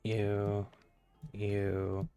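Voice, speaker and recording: Smooth, low-voiced male game streamer. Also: completely flat delivery, very slow, dark tone. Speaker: live streamer playing a game. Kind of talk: casual gameplay commentary. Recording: faint game music behind. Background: game music.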